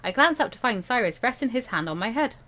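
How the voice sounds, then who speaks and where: A woman hosting a let's play, reading a visual novel aloud in an expressive, playful, dramatic way. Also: a little high, fast.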